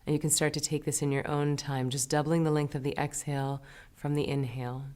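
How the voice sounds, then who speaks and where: A woman leading a guided meditation as an instructor, speaking in a gentle, soothing and unhurried way. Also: sits low, level tone.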